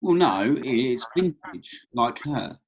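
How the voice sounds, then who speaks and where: A man's voice: mid-range voice, sing-song, slow, gravelly, full character voice. A man playing an awkward, eager young man in a script read, hesitant and conversational.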